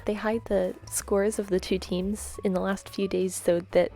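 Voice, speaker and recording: A young woman chatting and rambling while she draws, soft-spoken and giggly, her voice a little off from a cold. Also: mid-range voice, flat delivery, unhurried. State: slightly congested from a cold. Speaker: art vlogger. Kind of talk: chatty voice-over while drawing. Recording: faint background music.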